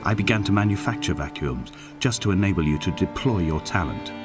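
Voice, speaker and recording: Low-pitched, solemn melancholy solitary male narrator. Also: lively intonation. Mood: tender, reflective. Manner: measured, solemn, reflective. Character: solitary, melancholy middle-aged male letter-writer narrating on an island. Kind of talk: scripted literary game narration. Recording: music behind the narration. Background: clear background music.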